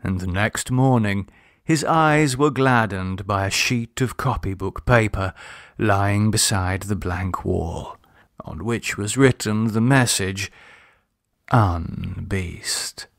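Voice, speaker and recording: Expressive male storyteller, dry recording. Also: lowish voice, no echo. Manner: measured, expressive, formal storytelling. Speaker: audiobook narrator. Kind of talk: literary short story narration.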